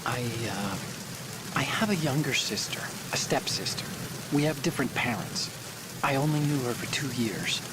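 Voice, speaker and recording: A man's voice: medium pitch, lively intonation, moderate pace, natural voice. An actor playing an earnest, anxious male computer hacker in a video game, speaking hesitantly. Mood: uneasy, confiding. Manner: hesitant, stammering. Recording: rain noise behind.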